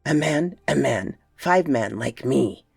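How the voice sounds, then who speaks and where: A woman's voice: a bass voice, lively intonation, takes it slowly, creaky, darkish tone, slightly rough, no room sound, clean studio sound, pitched below the performer's own voice, exaggerated voice. A woman voicing an eager, simple-minded male ape-man who gabbles in broken speech. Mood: gabbling.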